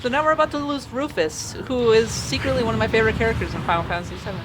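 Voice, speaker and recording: A man's voice: very high voice, racing, background noise. A man giving casual, conversational commentary on video game cutscenes.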